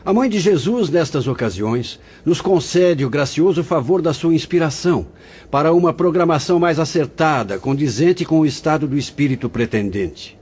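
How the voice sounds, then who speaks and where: A man playing a husky, paternal spirit director. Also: on the high side, normal speed. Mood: solemn. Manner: calm, solemn, instructive. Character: venerable male spirit director, gentle and paternal. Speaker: actor in audio drama. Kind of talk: radio drama acting. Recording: dull lo-fi audio.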